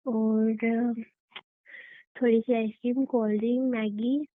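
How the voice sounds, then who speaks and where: A woman's voice: a little high, little pitch movement, slow pace, no echo. A young woman in a casual phone chat, bickering playfully as a girlfriend, stubborn and coaxing. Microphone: phone call. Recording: dry, narrow phone-line sound.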